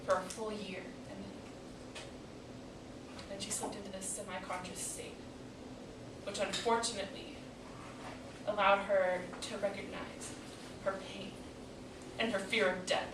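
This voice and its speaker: Echoey room, faint noise, woman playing grieving daughter, stage monologue, bitter questioning of God, dramatic pauses. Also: medium pitch, close to an everyday voice. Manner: deliberate, dramatic pauses, swelling from hushed to pleading. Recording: echoey room, distant mic, background noise.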